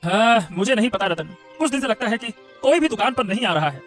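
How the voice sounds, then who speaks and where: A man's voice: high-pitched, expressive, brisk, a bit bright. A man voicing a worried, defensive shopkeeper in a Hindi cartoon, speaking hesitantly. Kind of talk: Hindi moral-story cartoon voice acting. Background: music.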